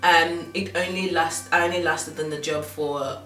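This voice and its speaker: A woman's voice: low voice, steady tone, moderate pace, a bit bright, faint music behind. A woman in her thirties from Nigeria with a slight Yoruba accent, chatting casually to camera as a fashion blogger, rambling with frequent ums and restarts. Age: 30s. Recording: roomy sound from far off.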